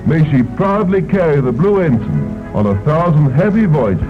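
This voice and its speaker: A man's voice: fairly high pitch, big pitch swings, normal speed. A man giving stately, ceremonious 1930s newsreel narration. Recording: tinny old film soundtrack with music.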